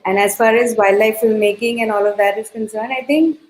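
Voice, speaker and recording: A woman's voice: fairly high pitch, steady tone, a little fast, slight background noise, poor audio. A female filmmaker guest in a casual panel chat, speaking reflectively with hesitations. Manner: reflective, hesitant, laughs easily.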